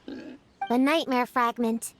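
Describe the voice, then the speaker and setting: A woman's voice: a little high, fairly even intonation, slow, a little hiss or hum. A woman voicing a spirited, curious little girl in a fantasy video game, with a perky, inquisitive way of talking. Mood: thoughtful. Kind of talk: animated video game voice acting.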